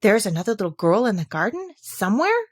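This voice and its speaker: A woman's voice: medium pitch, big pitch swings, quick pace, husky, no room sound, clean studio sound. A woman playing a polite, timid and curious little girl in a storybook, with a questioning manner. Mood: eager.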